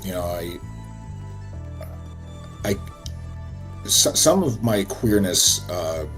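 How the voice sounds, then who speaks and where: A gentle man with a very low, deep voice, talking slowly and thoughtfully with small hesitations. Soft music plays faintly under the conversation. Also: very animated. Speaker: guest. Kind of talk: casual conversation.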